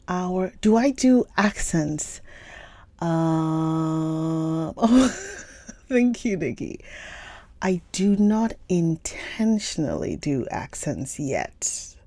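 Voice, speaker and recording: A young woman from Ghana chats with her livestream audience at home before a book reading. Her voice sits a little low and soft, and her pace is slow and relaxed, broken up by frequent giggles. The sound is close and dry, picked up by a streaming mic. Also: dry recording. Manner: chatty, giggly, greets viewers by name. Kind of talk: casual livestream chat with viewers. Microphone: phone or computer stream mic.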